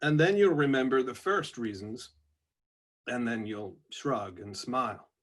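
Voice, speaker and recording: A man voicing a reflective, wryly stoic robot labourer from a book, slowly and deliberately, with an American-style twang. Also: medium pitch, natural voice. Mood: quietly stoic. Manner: slow, deliberate, pausing delivery. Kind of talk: book reading of aphoristic notebook entries.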